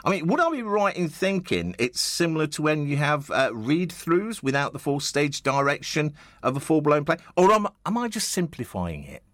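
A man's voice: a little high, big pitch swings, normal speed, few pauses, fairly bright tone, dry recording, clean studio sound. A man hosting a conversational radio interview in a chatty, rambling, enthusiastic way.

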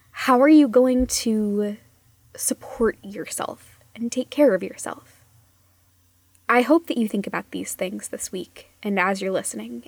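A woman presenting a casual, reflective podcast monologue, speaking gently and conversationally and trailing off softly at phrase ends. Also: a little high, no echo.

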